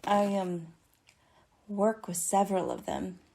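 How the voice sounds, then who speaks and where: Woman as a slow, mellow, flirtatious showgirl. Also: medium pitch, vocal fry, a little hiss or hum. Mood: breezy, flirtatious. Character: breezy, flirtatious female balloon dancer. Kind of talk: 1950s radio comedy acting.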